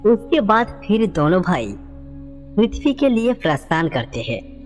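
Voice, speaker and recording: A woman's voice: medium pitch, sing-song, a little slow. A woman reading scripted narration for a devotional story. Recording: long reverb, music underneath.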